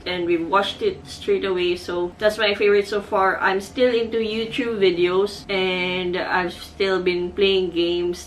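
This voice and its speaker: A woman's voice: medium pitch, a little hiss or hum, low-quality recording. Filipino woman, 30s, casual home vlogger, chatty, mixes Tagalog into English. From Philippines. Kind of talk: casual vlog talk. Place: her bedroom at home.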